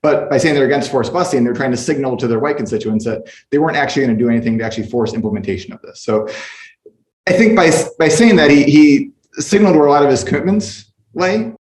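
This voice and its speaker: A man's voice: mid-range voice, fast talker, darkish tone. A man speaking as a professor on a panel, giving explanatory, academic analysis. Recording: roomy, echoing sound.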